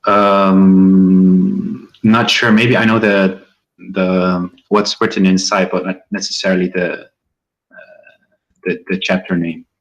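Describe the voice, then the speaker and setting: A man's voice: deep voice, level tone, slow, lo-fi sound. A man from Morocco calling in, answering hesitantly and agreeing, often searching for words. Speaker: caller. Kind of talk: call-in conversation.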